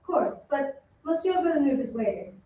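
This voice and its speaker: Woman playing a high-voiced young opera singer, echoey. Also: animated, moderate pace, dark tone. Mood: polite, kindly. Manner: poised, courteous. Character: polite, kindly young female opera singer. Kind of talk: old-time radio drama acting. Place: theatre stage, live reading. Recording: very echoey stage, distant, muffled, hiss.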